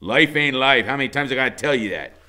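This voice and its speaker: A man playing a menacing, scornful tough guy, gruff and curt, in a storybook reading. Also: medium pitch, animated, quick pace, pushed and bright, pitched above the performer's own voice. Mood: annoyed.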